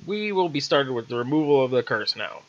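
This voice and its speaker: A man's voice: mid-range voice, big pitch swings, a little fast, muffled recording, lightly acted. A man voicing a talking raven, a witch's dry and formal business associate, in a read-aloud story. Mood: businesslike.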